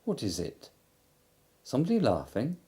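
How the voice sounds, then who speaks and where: A man voicing a kind young boy who speaks excitedly and eagerly. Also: medium pitch, moderate pace, pitched above the performer's own voice.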